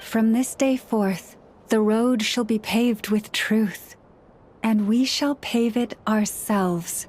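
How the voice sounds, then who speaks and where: A woman playing a serene priestess leader in a video game, speaking in a formal, measured, earnest way. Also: a little high, a little mellow. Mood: solemn, ceremonial. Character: tall antlered priestess leader, gentle, solemn, serene. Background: background music.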